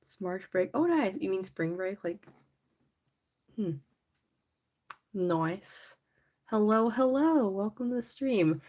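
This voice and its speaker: A young woman hosting a casual livestream, chatty and giggly, her voice a little raspy from allergies. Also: mid-range voice, expressive, slow pace, pauses often, darkish tone. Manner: chatty, giggly, rambling with many "like"s. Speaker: livestream host. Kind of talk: casual livestream chat while painting a figure. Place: indoors at home.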